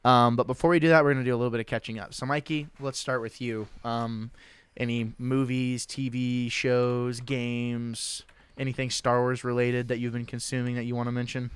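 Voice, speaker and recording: A man's voice: medium pitch, little pitch movement, normal speed, clean tone, no echo. A young man hosting a casual podcast, talking loosely and joking with his co-hosts, often restarting his sentences. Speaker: podcast host.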